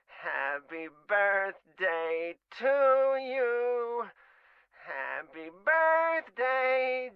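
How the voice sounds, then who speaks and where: A man's voice: high-pitched, smooth, no echo, heavily acted. An actor playing an eerie, taunting male stranger in a horror game, with a singsong, menacing manner. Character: eerie, taunting stranger making a sinister birthday call. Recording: dull, lo-fi game audio.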